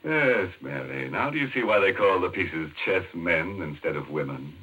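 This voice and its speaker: Male horror host, low-pitched and very animated, mock-ghoulish and jokey, muffled vintage radio with faint music. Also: moderate pace, husky. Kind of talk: macabre, jokey 1940s radio hosting.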